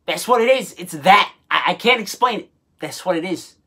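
A woman hosting a comedy show with casual, sarcastic reaction commentary, exasperated and halting as she repeats herself. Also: fairly low pitch, animated, a bit bright, breathy edge. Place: small indoor room full of collectibles.

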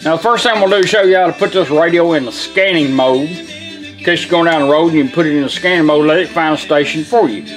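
Man, somewhat high pitch, folksy, plain-spoken, informal product demo, faint music behind. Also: fast. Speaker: presenter, car radio restorer. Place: indoor workshop bench.

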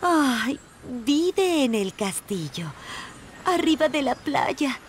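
A lovestruck young mermaid princess in an animated game. Her voice is high and swoops expressively, with slow, wistful phrasing. Faint noise sits behind her. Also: full character voice. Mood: dreamy. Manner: wistful, eager. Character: sweet, dreamy, lovestruck teenage mermaid princess. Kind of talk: animated game character dialogue.

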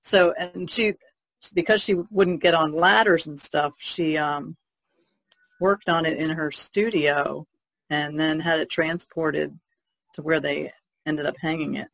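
A woman's voice: low voice, steady tone, a little slow, vocal fry, a bit bright, no room sound, low-quality recording. A woman chatting casually as a podcast panelist, in a hesitant, rambling way. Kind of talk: casual chat.

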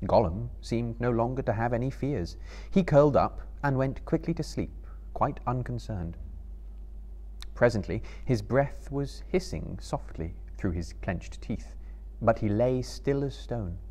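A man's voice: medium pitch, expressive, a little slow, dark tone. A man in his thirties giving a dramatic literary reading as a storyteller to a live audience. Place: book-lined room before a small live audience.

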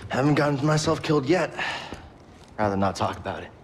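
A man's voice: medium pitch, lively intonation, brisk, slight background noise, close to an everyday voice. A young man playing an earnest, determined adventurer in a video game, with an understated delivery. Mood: guarded. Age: young adult.

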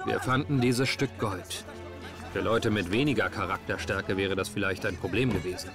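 An actor playing an earnest, laid-back young rookie soldier, narrating a game story in a wry, matter-of-fact way. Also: male voice, on the low side, fairly even intonation, normal speed, over music, a crowd in the background, lightly acted. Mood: wry, reflective.

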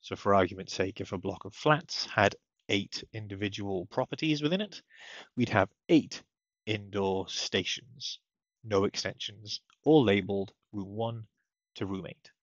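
A man with a fairly low, husky voice walks through software setup as a tutorial presenter. He explains each step methodically. The voice-over sounds slightly muffled. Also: measured pace, dry recording. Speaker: technical support tutorial presenter.

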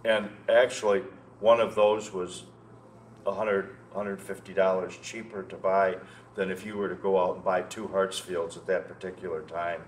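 Older American man, deep voice, halting conversational reminiscing, repeated words, noisy room. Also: flat delivery. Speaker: interview guest, loudspeaker maker. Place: indoor show room, seated. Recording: noticeable background noise, room ambience.

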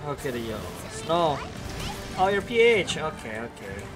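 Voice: mid-range voice, sing-song, normal speed. Young streamer, American English accent, casual rambling commentary, music playing underneath. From Indonesia. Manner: chatty, self-correcting, laughs often. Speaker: game streamer. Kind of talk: casual gameplay commentary, chatting with viewers.

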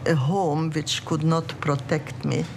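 Older woman, low reflective voice. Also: fairly even intonation, slow pace, gravelly, background noise. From Czechoslovakia. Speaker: interviewee, refugee. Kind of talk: documentary interview. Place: dark studio set, indoors.

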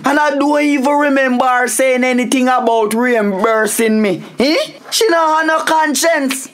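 A man's voice: very high voice, moderate pace, fairly bright tone. An actor playing a flustered, grumbling Jamaican family father in a radio comedy drama, stammering as he complains. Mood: flustered complaining.

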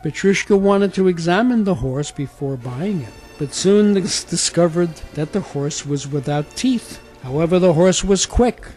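A man's voice: on the high side, unhurried, hardly any pauses, darkish tone, soft background music. A man reading a scripted fairy tale as a storyteller.

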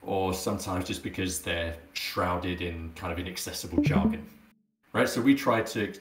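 A man's voice: low voice, normal speed, roomy sound, noisy background. A man giving an explanatory presentation over an online call. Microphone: online call with screen share.

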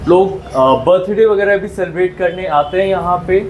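A man at a restaurant walks through the menu, rattling off combos and prices in an easy sales patter. His voice is high for a man. Clear restaurant chatter and clatter sit behind him. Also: normal speed, noisy background. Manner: salesy, listing prices and deals. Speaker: restaurant staff. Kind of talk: explaining menu and offers.

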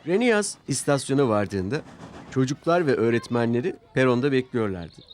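A man narrating a scripted children's story with expressive storytelling. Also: mid-range voice, moderate pace, a little hiss or hum. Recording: music loud behind the voice. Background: background music.

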